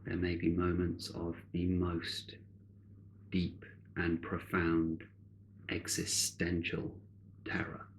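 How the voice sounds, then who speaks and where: A man guiding a meditation in a measured, solemn, soothing manner, with long deliberate pauses between short phrases. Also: deep voice, monotone, a little hiss or hum, low-quality recording. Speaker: meditation guide. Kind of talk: guided meditation, spiritual narration.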